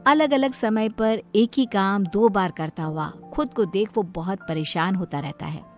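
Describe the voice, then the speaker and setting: A woman's voice: medium pitch, a little slow. A woman storyteller reading scripted narration of a moral tale. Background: light background music.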